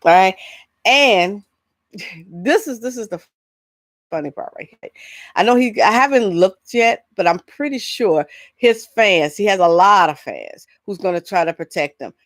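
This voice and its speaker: A woman hosting a vlog, giving casual, opinionated entertainment commentary in a halting, rambling, blunt way with frequent asides. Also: mid-range voice, expressive, no room sound, clean studio sound. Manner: blunt, incredulous rhetorical questions. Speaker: vlog host.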